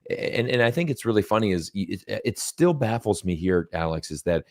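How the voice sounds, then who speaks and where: A man from Singapore hosts a casual livestream chat, his voice sitting very low. He talks in an animated way, full of fillers, and acts out the quotes he retells. The sound is dry and close. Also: brisk, no echo. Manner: animated, mimics quoted exchanges, many fillers. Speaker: channel host. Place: lives in Las Vegas.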